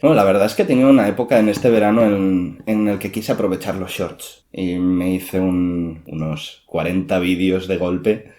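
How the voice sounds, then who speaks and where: A man's voice: deep voice, fairly even intonation, normal speed, dark tone. A young man with a Spanish accent from Spain, chatting casually as an interview guest. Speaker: interviewee, amateur dubber and YouTuber.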